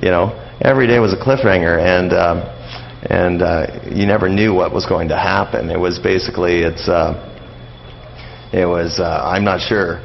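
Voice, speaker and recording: A middle-aged man giving a casual radio interview, explaining and reminiscing in a halting, rambling way that often circles back on phrases. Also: low voice, steady tone, vocal fry. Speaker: interview guest, old-time radio enthusiast. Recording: dull, lo-fi radio interview with noise.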